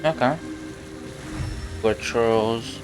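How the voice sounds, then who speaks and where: A man's voice: mid-range voice, drawn-out words, over music. A man giving casual live game commentary, muttering short reactions to himself as he plays. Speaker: game streamer.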